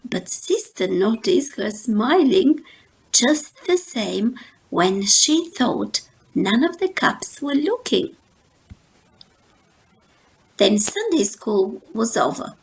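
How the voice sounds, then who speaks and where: A woman reading a children's story aloud as narrator, in an expressive, unhurried storytelling manner. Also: fairly high pitch, gravelly, rough recording. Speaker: storybook reader.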